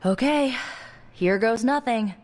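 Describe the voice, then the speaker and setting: Casual, wry young spellcaster. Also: female voice, a little high, slow, darkish tone, slight background noise. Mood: wry, unsure. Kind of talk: video game acting.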